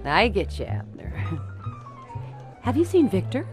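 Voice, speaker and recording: A woman's voice: on the high side, huge pitch swings, slow, soft background music, full character voice. A woman playing a wistful, wry 1950s nightclub torch singer in a radio drama, dry and world-weary. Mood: anxious, hurt.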